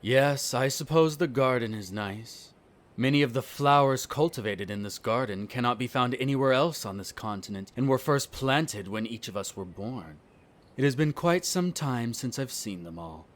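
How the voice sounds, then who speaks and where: A man's voice: medium pitch, lively intonation, moderate pace, close to an everyday voice. A man playing a smug, disdainful vampire noble in a scripted roleplay audio drama, speaking formally with sardonic condescension. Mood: grudgingly reflective.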